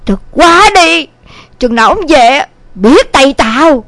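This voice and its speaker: Woman acting fiery southern Vietnamese village mother, very animated. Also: sits high, measured pace, no echo. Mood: furious, exasperated. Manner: scolding, exclamatory, dramatic. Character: scolding middle-aged village mother, fiery and exasperated. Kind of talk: dramatised audio story acting.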